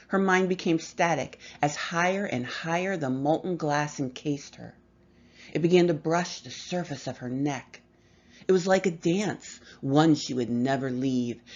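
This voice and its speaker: A woman reading a horror story aloud with measured, expressive delivery and dramatic pauses. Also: rumbling low, dull audio. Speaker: story reader.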